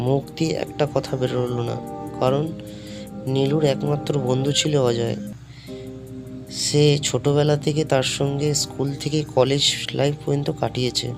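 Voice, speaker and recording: A man's voice: medium pitch, fairly even intonation, normal speed. A man narrating a scripted horror story in storytelling fashion. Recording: loud music under the voice. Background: loud background music.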